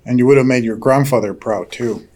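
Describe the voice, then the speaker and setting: Audio drama role: older male farm patriarch man acting, folksy storyteller, courteous, gently probing, medium pitch. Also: brisk, close to an everyday voice. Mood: fond, reminiscing. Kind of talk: naturalistic audio drama dialogue.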